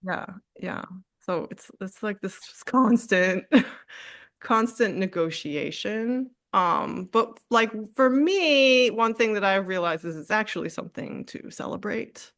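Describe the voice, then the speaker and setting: A woman artist in a candid interview, speaking reflectively with frequent fillers, restarts and soft laughs. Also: medium pitch, lively intonation, slow pace, dry recording, studio quality. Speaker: artist guest.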